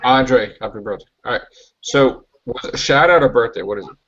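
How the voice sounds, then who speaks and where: A man hosting a casual web show, talking in an emphatic, pushy and animated way, on a muffled lo-fi recording. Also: mid-range voice, brisk, breathy edge. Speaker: host.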